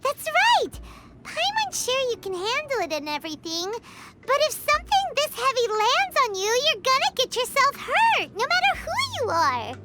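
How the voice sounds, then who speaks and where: A woman voicing a small, excitable, chatty fairy companion in a video game, childlike, cheeky and exclamatory. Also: very high-pitched, normal speed, heavy background noise, full character voice. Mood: earnest scolding. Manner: exclamatory, refers to self in third person. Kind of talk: animated game voice acting.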